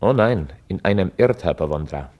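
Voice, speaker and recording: A man playing a measured chronicler, narrating a scripted German radio drama in documentary style. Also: low-pitched, animated, noisy background.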